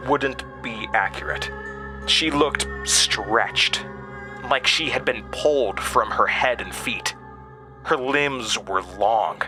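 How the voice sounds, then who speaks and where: A man narrating a first-person horror story in a deliberate, halting manner with dramatic pauses. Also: fairly low pitch.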